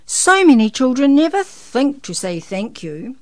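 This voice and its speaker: A woman plays a big, jolly old Santa from a children's storybook. The voice booms out heartily and kindly, taking its time over the words, close and dry. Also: very animated, unhurried, darkish tone, no echo. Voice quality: big, booming.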